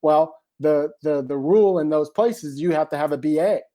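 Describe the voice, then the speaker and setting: A man's voice: on the high side, normal speed, warm tone. A man, a screenwriter, talking casually and animatedly as a guest, often checking in with "you know what I mean". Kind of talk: casual conversation.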